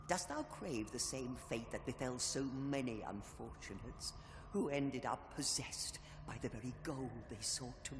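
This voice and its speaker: In a cavernous, reverberant hall, a suspicious, theatrical sibling speaks in archaic, menacing phrases. The delivery is slow and swoops up and down, full of bitterness, like scripted dark fantasy game dialogue. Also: male voice, mid-range voice. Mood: suspicious, taunting. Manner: archaic, grandiose, menacing diction. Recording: echoing hall, long reverb, faint hiss.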